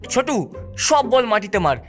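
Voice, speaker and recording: Man as high-voiced bossy cartoon boy. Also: very animated, measured pace, soft background music. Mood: confident. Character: scheming, confident young boy cricket batsman.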